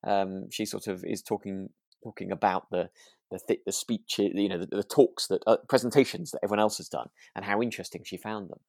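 A man with a low, gravelly voice co-hosts a relaxed review chat. He talks tentatively, feeling his way through his thoughts, and the sound is close and dry. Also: brisk, no room sound. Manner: hesitant, tentative, hedging. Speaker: podcast co-host.

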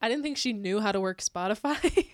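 A young American woman with a mid-pitched voice, recorded dry and close. She chats as a podcast co-host in a loose, joking way and giggles through a lot of what she says. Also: animated, fairly quick, no echo. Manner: giggly, laughs often while talking. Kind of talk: casual comedic banter chat.

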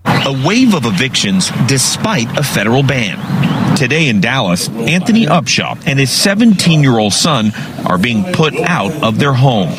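A man's voice: mid-range voice, very animated, normal speed, over music. A man reporting for television news, reading scripted narration. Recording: news report over street noise.

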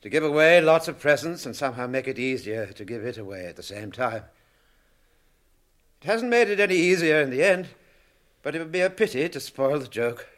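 An actor playing an elderly, whimsical and fussy hobbit with a British accent in a radio drama. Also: male voice, medium pitch, expressive, a little fast, fairly bright tone, lightly acted. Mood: uneasy, reflective. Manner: chatty, whimsical, old-fashioned exclamations.

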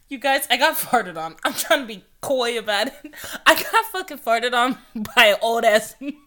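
A woman's voice: fairly high pitch, lively intonation, unhurried, sharp tone. A young woman hosting her own podcast, chatting loosely and profanely and laughing often. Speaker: podcast host. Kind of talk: casual solo podcast monologue.

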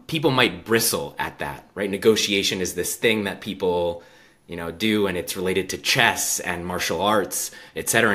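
Male host, very low bright voice, thoughtful and discursive in conversation. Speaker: interview host. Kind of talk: conversational interviewing.

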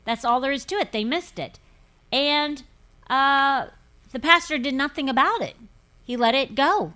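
A woman in her fifties speaks with a forthright, preachy conviction as she hosts a relaxed podcast chat. Her delivery is emphatic, with repeated words and firm pronouncements. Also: sits high, unhurried. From grew up in Pentecostal churches. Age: 50s. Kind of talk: casual podcast chat on faith.

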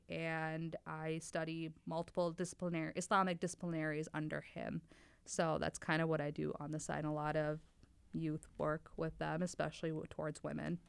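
A woman's voice: sits low, clear, resonant tone, no room sound. A woman in her twenties from Wisconsin, a guest chatting openly on a casual podcast about her work and family. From Wisconsin, United States. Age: 20s. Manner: chatty and open, talks in long flowing stretches. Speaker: podcast guest, lab tech.

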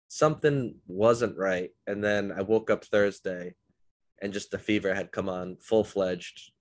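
A man's voice: on the low side, dry recording. A man hosting a podcast, a bit congested from illness, telling rambling self-deprecating stories in a casual chat. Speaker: podcast host.

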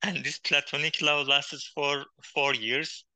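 A man from Turkey's far east, with as his in English, answering interview questions in a halting way with many pauses and fillers. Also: fairly high pitch, level tone, sharp tone, dry recording. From Hakkari region of Turkey, Kurdish. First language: Kurdish. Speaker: guest, poet and journalist. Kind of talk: interview conversation.

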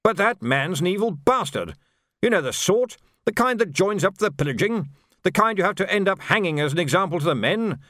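A man's voice: sits high, lively intonation, fairly quick, fairly bright tone, dry recording, clean studio sound, voice pushed up. A man voicing a newly promoted army major who sounds prim and flustered. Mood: uneasy.

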